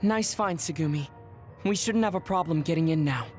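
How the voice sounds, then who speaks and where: A man's voice: fairly high pitch, moderate pace, darkish tone, soft background music, lightly acted. An actor playing a serious, dutiful male soldier in a video game cutscene. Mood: businesslike.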